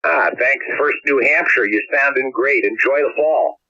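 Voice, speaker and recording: Bright American man over muffled ham radio. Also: medium pitch, moderate pace, dry recording, soft background music. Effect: ham radio transmission. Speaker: amateur radio contest operator. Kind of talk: contest exchanges in radio phonetics. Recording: dry band-limited shortwave radio signal.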